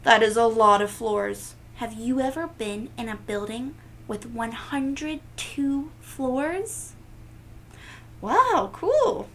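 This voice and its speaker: A woman's voice: fairly high pitch, a little hiss or hum. A woman reading a storybook expressively to children, drawing out words emphatically and chatting to the listeners. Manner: animated, emphatic, drawn-out words, gasps and sound effects. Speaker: children's read-aloud host.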